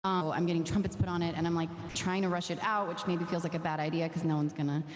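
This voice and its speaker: A woman in her thirties with a low voice, a songwriter guest chatting casually and laughing often. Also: level tone, quick pace. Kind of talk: casual chat. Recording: echoey room with long reverb.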